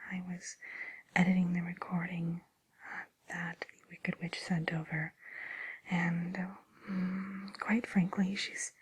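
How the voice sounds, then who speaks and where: A woman hosting an ASMR show, whispering a casual introduction in a soft, hesitant manner. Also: on the low side, flat delivery, slow, pauses often, slightly rough.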